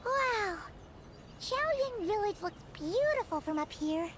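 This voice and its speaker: A woman voicing a tiny, childlike fairy guide in a video game, squeaky, bubbly and cheeky. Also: big pitch swings, slow pace, background noise, exaggerated voice. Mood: amazed. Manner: bubbly, childlike, speaks of self in third person. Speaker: game voice actor. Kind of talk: cartoonish game mascot acting. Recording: loud game music behind.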